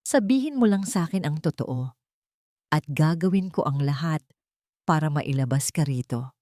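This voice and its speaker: A woman voicing a wealthy man pleading gently and calmly. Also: low-pitched, normal speed, no echo, studio quality.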